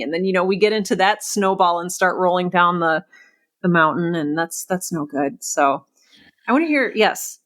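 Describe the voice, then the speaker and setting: Effusive woman podcast host, slightly low voice. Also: a bit bright. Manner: effusive, emphatic. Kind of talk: casual interview conversation.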